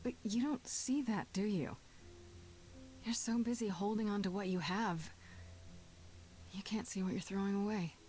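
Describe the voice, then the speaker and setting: Game heroine, female actor: young, defiant, sardonic, dry natural delivery at a middle pitch. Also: measured pace, pauses often, dark tone, natural voice. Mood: bitter, accusing. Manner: dry, challenging, conversational. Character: defiant, sardonic young woman newly made an idol. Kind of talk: video game voice acting.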